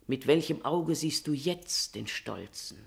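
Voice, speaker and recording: A woman's voice: low-pitched, animated, a little slow, vocal fry, exaggerated voice. An actress playing a queen's devoted and scheming confidante in a classical tragedy, declaiming verse in an emphatic, persuasive manner. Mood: bitter, probing. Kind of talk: declamatory classical German stage verse acting.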